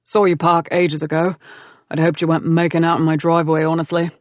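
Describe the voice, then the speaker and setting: A woman doing a gruff, blunt grown man. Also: deep voice, little pitch movement, a little fast, no room sound, natural voice. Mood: grumbling. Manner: blunt, swearing, dry.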